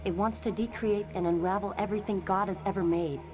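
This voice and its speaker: An actress playing a young telepathic woman in a motion comic, tender, wry and fatalistic, over vehicle rumble. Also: steady tone, quick pace, music in the background, lightly acted. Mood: wry, uneasy. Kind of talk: dramatic motion comic voice acting.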